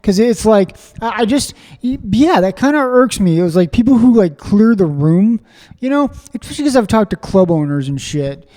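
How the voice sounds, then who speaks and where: A man from Springfield, Missouri, hosting a podcast interview, talking in an excitable, rambling way full of fillers and restarts. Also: high voice, normal speed, big-room sound. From Springfield, Missouri, USA. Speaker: podcast host. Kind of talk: casual conversational interview.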